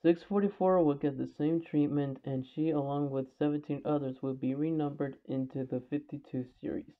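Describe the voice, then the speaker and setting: A man's voice: on the high side, fairly even intonation, normal speed. Man narrating over a tinny, phone-like recording. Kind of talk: scripted documentary narration. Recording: tinny, phone-like narrow band.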